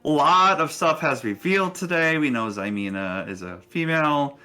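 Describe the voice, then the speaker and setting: A man's voice: on the high side, expressive, moderate pace, bright, forceful tone, faint music behind. A man, casually narrating and refereeing a tabletop fantasy game in a conversational, encouraging way. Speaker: game master and show host.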